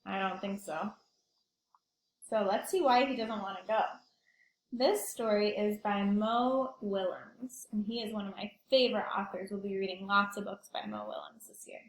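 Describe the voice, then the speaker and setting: A woman's voice: mid-range voice, fairly even intonation, normal speed, echoey room, low-quality recording. A woman teacher reading aloud to young children, explaining simply and expressively and asking the listeners questions.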